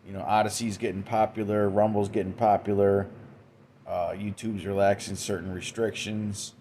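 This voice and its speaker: A man's voice: lowish voice, level tone, moderate pace, mellow, slight background noise. A man giving an informal religious talk, tagging nearly every sentence with "okay?" and "man", with frequent restarts. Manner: frequent restarts and repeated words. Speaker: religious commentator. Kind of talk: informal preaching monologue. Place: indoors, Western New York.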